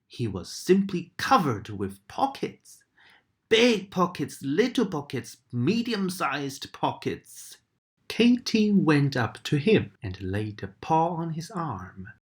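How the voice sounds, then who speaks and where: A woman reading a bedtime story aloud to children, gentle and animated. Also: sits low, slow pace. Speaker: storybook reader.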